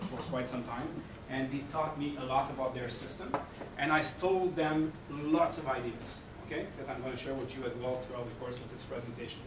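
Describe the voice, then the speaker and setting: A man in his forties from Quebec City with a Québécois French accent, giving an energetic, chatty conference talk to coaches and often checking in with "okay?". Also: medium pitch, distant mic. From Quebec City, Canada. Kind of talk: conference presentation. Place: dark conference hall, speaking in front of a screen. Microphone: room recording from a far camera. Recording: echoey hall, heard from afar, noisy.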